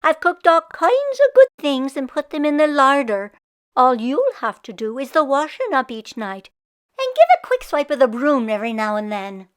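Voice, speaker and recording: A woman voicing a brisk country wife in a storybook, nagging, insistent and emphatic. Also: high-pitched, big pitch swings. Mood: brisk, instructing.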